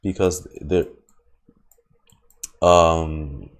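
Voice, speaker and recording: Low-voiced, slow-talking man streaming a game. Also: fairly even intonation. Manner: rambling, repeats words and phrases. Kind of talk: casual live gaming commentary. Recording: game music behind the voice.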